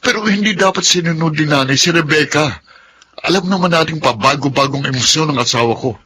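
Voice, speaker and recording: Bright-voiced, troubled husband. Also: male voice, on the high side, brisk, a little hiss or hum. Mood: alarmed. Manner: emotional, earnest. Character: troubled husband, expectant father, earnest and wounded. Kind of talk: Filipino melodrama acting.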